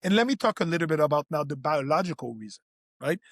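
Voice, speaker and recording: A man's voice: on the high side, lively intonation, fast talker, no room sound, clean studio sound. A man who hosts a health podcast, a doctor whose first language is not English, explaining in an earnest tone with frequent restarts. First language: French or Creole. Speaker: physician podcast host.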